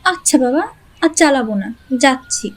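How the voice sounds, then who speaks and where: A woman's voice: high voice, animated, moderate pace, rough recording. A woman playing a playful young sister-in-law in an audio story, teasing and coaxing. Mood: giving in. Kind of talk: dramatized Bengali audio story.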